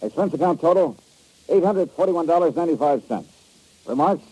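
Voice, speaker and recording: An actor playing a tough, sardonic American insurance investigator in old-time radio style, with a wry, clipped, hard-boiled delivery. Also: male voice, a little high, lively intonation, brisk, warm tone, slightly rough, rough recording. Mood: wry, reflective. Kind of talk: old-time radio detective acting and narration. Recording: old radio recording with faint music.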